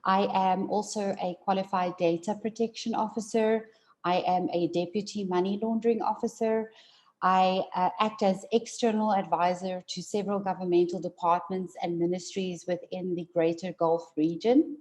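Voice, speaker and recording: Low, mellow woman from South Africa, formal legal speaker, measured with some fillers. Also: fairly even intonation. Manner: measured, formal, with frequent fillers and repeated words. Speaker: attorney giving a conference talk. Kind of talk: formal prepared presentation.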